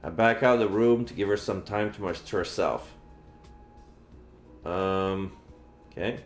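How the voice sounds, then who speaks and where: Low-voiced man reading a game aloud, roomy. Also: a little slow. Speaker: streamer reading a visual novel aloud. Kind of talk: live playthrough narration, reading aloud. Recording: roomy echo, game music behind.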